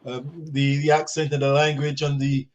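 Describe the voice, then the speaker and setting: A middle-aged man from Barbados, answering conversationally on a video call in a halting, repetitive way. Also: medium pitch, completely flat delivery, a little hiss or hum. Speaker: diaspora guest living in England. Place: small office, on a video call. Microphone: video call, device in front.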